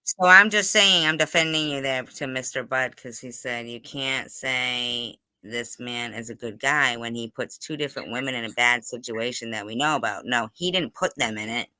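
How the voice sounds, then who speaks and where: Bright, insistent man hosting casual chat. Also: medium pitch, normal speed, hardly any pauses, clean tone, dry recording. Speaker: host of an online interview show. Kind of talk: casual gossip interview, live chat.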